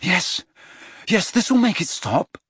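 A man's voice: high-pitched, big pitch swings, slow pace, a bit bright, slightly rough, no room sound, studio quality, pitched above the performer's own voice, heavily acted. A man doing a desperate teenage boy wizard. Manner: pleading, reassuring, shaky.

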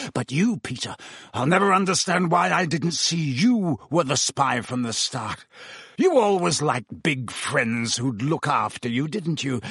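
A male audiobook narrator acts out a vengeful man who was wrongly jailed. His voice is husky and growling, with wide swings of pitch. He speaks with bitter, accusing intensity. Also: a bit bright, dry recording, studio quality, heavily acted. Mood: outraged.

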